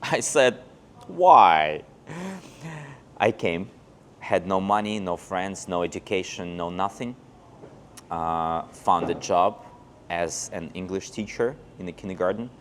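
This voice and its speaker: A man's voice: deep voice, slight background noise. A man in his thirties from Belarus, an English teacher telling his story in a casual interview, recorded on a lapel mic. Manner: chatty storyteller, acts out quoted dialogue. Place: outdoors on a paved old street and in a cafe.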